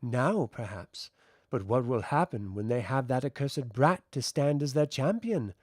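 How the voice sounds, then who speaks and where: A man's voice: medium pitch, expressive, measured pace, darkish tone, no echo, studio quality. Man as a haughty, frosty otherworldly queen, lilting. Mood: bitter. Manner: imperious, cold, mocking. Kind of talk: audiobook fantasy character voice.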